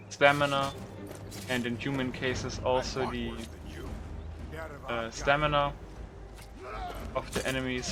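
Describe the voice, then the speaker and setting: Male gamer, medium pitch, informal off-the-cuff commentary, prominent background music. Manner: informal, mild swearing, thinking aloud. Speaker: gaming video creator. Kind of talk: casual unscripted gameplay commentary.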